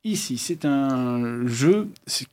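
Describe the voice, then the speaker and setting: A man's voice: medium pitch, expressive, extremely slow, darkish tone. A man hosting a board game show, presenting in a casual panel talk.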